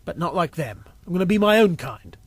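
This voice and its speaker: A man's voice: high voice, very animated, a little fast, dry recording, voice pushed up. A man voicing an earnest young man in an audio story, a little defiant and unsure of himself. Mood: defiant, determined. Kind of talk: audio story character acting.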